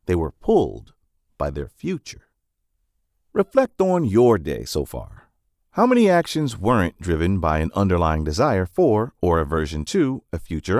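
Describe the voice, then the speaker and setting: A man's voice: fairly low pitch, a little mellow, no room sound, clean studio sound. A man reading a scripted non-fiction book summary as narrator, with polished, emphatic phrasing.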